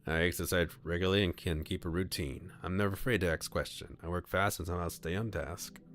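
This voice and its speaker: A man's voice: low-pitched, steady tone, fast, fairly bright tone, faint music behind. A man streaming a game, reading his choices aloud with chatty comments and frequent laughs.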